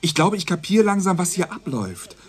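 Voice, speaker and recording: An actor playing a young, sceptical, quick-witted police dispatcher in a radio crime drama, talking in a wry, questioning way. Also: male voice, sits high, very animated, breathy edge, full character voice. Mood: realising, sardonic. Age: young adult.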